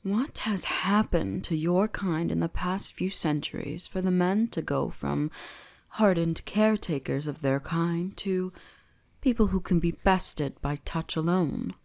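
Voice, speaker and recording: Woman voicing a slow, creaky, imperious fox spirit. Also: fairly low pitch. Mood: commanding, probing. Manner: slow, deliberate, imperious, teasingly gentle. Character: commanding, centuries-old female kitsune shrine guardian, cold yet fascinated. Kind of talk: scripted ASMR roleplay addressed to the listener.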